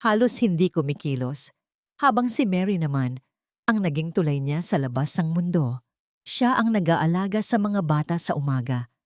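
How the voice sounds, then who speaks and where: A woman's voice: sits low, darkish tone, no echo, clean studio sound. A woman narrator reading a scripted dramatic story.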